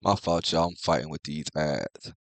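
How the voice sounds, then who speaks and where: A young man hosting a manga review in casual chat, congested and clearing his throat, with laid-back slangy talk. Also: extremely low pitch, normal speed, no room sound. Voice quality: congested, thick-sounding.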